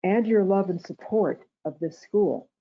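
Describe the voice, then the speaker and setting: A soft-voiced woman giving a prepared ceremonial welcome speech as an education director. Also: mid-range voice, a little slow, a little hiss or hum. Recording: tinny, phone-like online meeting audio.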